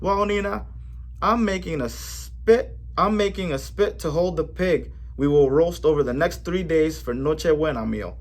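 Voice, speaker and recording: A man voicing a cheerful, affectionate uncle who calls out loudly in a raised voice. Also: fairly high pitch, measured pace. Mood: jolly. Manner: loud, cheerful, calling out. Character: jolly tall uncle, boisterous and affectionate.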